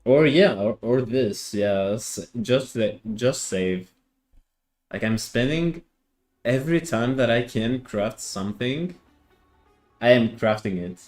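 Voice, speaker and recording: Male game streamer from Greece, unhurried and halting, restarts his words a lot, soft music behind. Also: medium pitch, expressive. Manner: hesitant, repeats words, answers chat. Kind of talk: casual live-stream chat.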